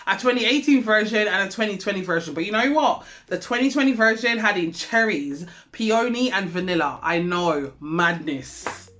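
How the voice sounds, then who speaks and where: A woman's voice: medium pitch, fairly bright tone. A woman hosting a fragrance review vlog, chatty and stuffy-nosed from hay fever, often checking in with viewers. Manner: chatty, addresses viewers with tag questions. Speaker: perfume reviewer, channel host.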